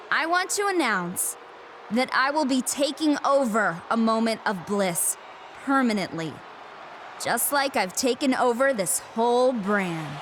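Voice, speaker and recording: A woman's voice: a little high, fairly bright tone, a little hiss or hum. A woman playing an arrogant, condescending villainous wrestling champion in a video game. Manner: smug, condescending, boastful. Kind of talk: scripted video game cutscene acting.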